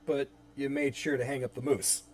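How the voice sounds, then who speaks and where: A man's voice: mid-range voice, flat delivery, moderate pace, darkish tone, close to an everyday voice. A man voicing a troubled, polite young man carrying guilt, in a dramatic script reading. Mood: light, awkward. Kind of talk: dramatic script table read.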